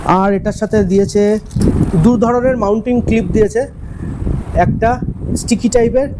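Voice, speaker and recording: A man's voice: high voice, moderate pace, heavy background noise. A man with a West Bengal Bengali accent giving a casual unboxing review, explaining things directly to viewers. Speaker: vlogger and product reviewer.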